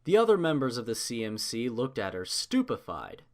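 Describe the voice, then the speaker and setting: A male narrator reads fan fiction aloud. His mid-pitched voice is highly animated and varies a lot, in an expressive storytelling style. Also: moderate pace.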